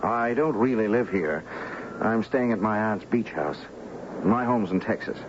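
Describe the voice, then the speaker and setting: An actor playing an earnest, adventurous young oil heir with intense, expressive 1970s radio drama delivery. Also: male voice, on the low side, unhurried, noisy background. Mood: friendly, curious. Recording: dull 1970s radio recording with music.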